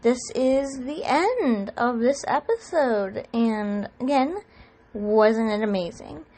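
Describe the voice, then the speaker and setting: A woman's voice: a little high, animated, slow, a little mellow. A woman presenting an audio production and addressing its listeners in a sign-off.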